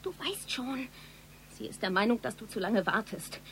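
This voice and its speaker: An actress playing an insistent, grief-driven daughter in a radio crime drama, pressing and reproachful. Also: female voice, fairly high pitch, animated, moderate pace, a bit bright, a little hiss or hum. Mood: urgent, hushed. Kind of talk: German radio play acting.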